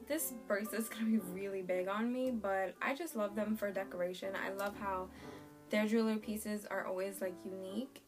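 A young woman talks straight to camera from a small bedroom, her voice croaky and worn from a sore throat. She chats casually and reads product labels aloud as she goes. Music plays clearly underneath her. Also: mid-range voice. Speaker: beauty and lifestyle vlogger. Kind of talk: casual unboxing chat to camera. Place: small bedroom in front of a red wall.

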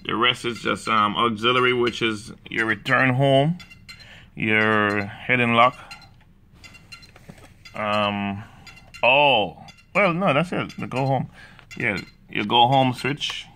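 A man with a Jamaican accent, speaking slowly and haltingly, often stopping to search for the word. His voice is low and gravelly but carries a bright, sharp edge. A faint hiss sits under the recording. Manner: hesitant, many fillers and restarts. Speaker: hobbyist demonstrating drone software. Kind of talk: casual screen walkthrough. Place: indoors, room with a laptop.

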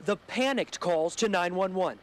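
Male news reporter, higher voice, live on a night street, handheld mic, faint background hiss. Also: expressive. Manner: brisk, earnest delivery. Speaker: field reporter. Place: outdoors at night in a residential street.

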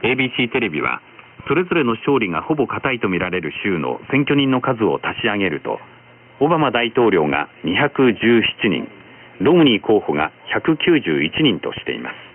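Male radio news announcer, formal scripted read, very lively intonation, thin shortwave sound with static. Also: fairly low pitch. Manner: formal, measured delivery. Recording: noisy, narrow-band shortwave radio reception. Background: radio static and noise.

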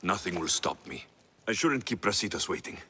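Deep, determined Greek mercenary. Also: male voice, lively intonation, brisk, pushed and bright, a little hiss or hum, exaggerated voice. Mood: resolute. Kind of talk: video game cutscene acting.

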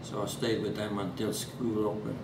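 A man's voice: lowish voice, level tone. Elderly Norwegian-accented man, distant and roomy. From Norway. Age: 80s. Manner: halting, many fillers and restarts. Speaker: interviewee. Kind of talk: oral history interview. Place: living room at home. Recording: echoey room, distant mic, background hiss.

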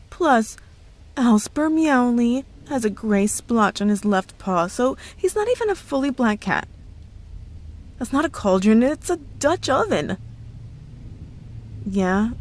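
A woman's voice: fairly high pitch, a little mellow. A woman playing a cheerful, evasive young girlfriend who is secretly a witch, in a playful ASMR roleplay with feigned innocence. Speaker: audio roleplay voice actress. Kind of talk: ASMR roleplay, scripted one-sided dialogue.

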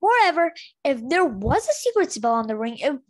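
A woman's voice: high-pitched, very animated, moderate pace. A woman reading a fantasy novel aloud as an amateur, stumbling over words and correcting herself. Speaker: amateur book reader.

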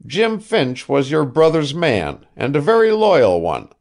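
A man's voice: fairly high pitch, big pitch swings, a little slow, voice pushed up. A man voicing a harsh old ship owner. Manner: harsh, impatient, clipped. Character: shrewd older male whaling ship owner, curt, evasive. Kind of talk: audiobook character reading.

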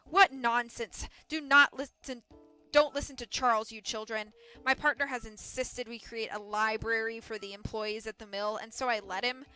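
A woman voicing a blustering, self-satisfied mill owner, a man with a brusque, loud and emphatic delivery, in a storybook reading. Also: a little high, fairly even intonation, moderate pace, hardly any pauses, clean tone, no echo, soft background music, poor audio. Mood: sharp, dismissive.

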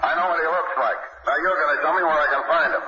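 An actor playing a tough, wisecracking private detective in a terse, slangy 1950s radio noir style. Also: male voice, on the high side, rapid-fire, lightly acted. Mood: demanding, tough. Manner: terse, slangy, hard-bitten. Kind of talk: 1950s radio noir acting, second-person narration. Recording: muffled, noisy, echoey 1950s radio recording.

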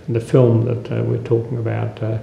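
Very creaky, monotone older Australian man. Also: medium pitch, soft background music. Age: 70s. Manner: formal, halting with very frequent uhs. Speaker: veteran interviewee. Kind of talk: measured interview recollection. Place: indoor room, seated. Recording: dull, echoey room, faint noise.

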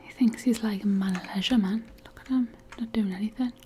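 Woman gamer, hushed whispered commentary, wry bossy asides, faint typing clicks behind. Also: mid-range voice, steady tone, a little fast, slight background noise. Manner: whispering, wry and bossy toward game characters. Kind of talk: whispered life-sim gameplay commentary.

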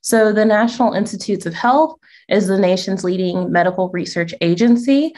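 A woman's voice: medium pitch, moderate pace, darkish tone. Friendly woman explaining at a conference. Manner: friendly, explanatory, light laughs. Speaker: conference presenter, associate director. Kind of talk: virtual conference presentation. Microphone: video call.

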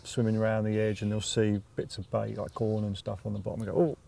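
Quick gravelly guy interviewing by a lake, faint outdoor noise in the back. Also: on the low side, little pitch movement, mellow. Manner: agreeable, constant "yeah" backchannel. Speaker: interviewer, presenter. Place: lakeside outdoors, seated.

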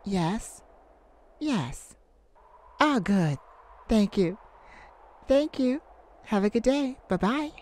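A woman's voice: mid-range voice, sing-song, drawn-out words, long pauses, a little mellow, slight background noise. A woman voicing a courteous, easily flustered, ageless celestial afterlife caseworker in an ASMR roleplay, hesitant and apologetic. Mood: businesslike. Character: polite, flustered, thousands-year-old celestial afterlife caseworker.

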